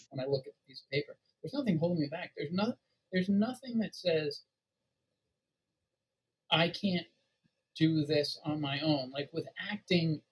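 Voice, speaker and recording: A man speaking casually as a podcast guest, in halting, fragmented phrases. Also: fairly high pitch, breathy edge, rough recording. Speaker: podcast guest, writer and actor. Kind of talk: casual conversational interview.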